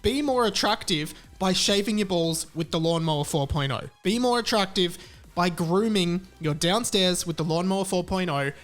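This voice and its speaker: High-pitched man, chatty and frank. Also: moderate pace, a bit bright, faint music behind. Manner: informal, frank, emphatic repetition. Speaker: podcast host. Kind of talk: casual podcast talk and chatty ad read.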